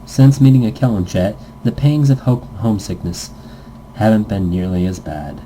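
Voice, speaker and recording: A man's voice: fairly low pitch, moderate pace, dark tone, slight background noise, rough recording. A man hosting a let's-play, reading a visual novel aloud and adding playful, goofy improvised asides in a casual gaming commentary style.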